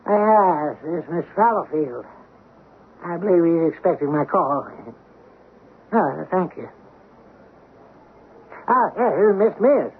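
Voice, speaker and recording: A man's voice: on the high side, expressive, slow, long pauses. A man playing an oily, sanctimonious, grasping coin dealer in a florid, unctuous radio drama style. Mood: obsequious. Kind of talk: old-time radio drama acting. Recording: dull, noisy old radio broadcast.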